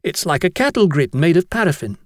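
Male reader doing an earnest schoolboy in a high, lively voice. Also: fairly quick, no room sound, clean studio sound. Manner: earnest, explaining patiently. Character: eager schoolboy explaining an ant trap.

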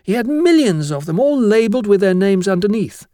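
Man acting chatty, melodramatic high-pitched young schoolboy. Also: big pitch swings, a little fast, mellow, no echo, studio quality. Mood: eager, pestering. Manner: earnest, insistent, addressing teacher as sir.

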